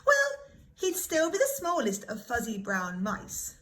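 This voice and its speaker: A woman reading a children's story aloud in an expressive, dramatic way, like a primary school teacher. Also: on the high side, measured pace. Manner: animated, dramatic emphasis.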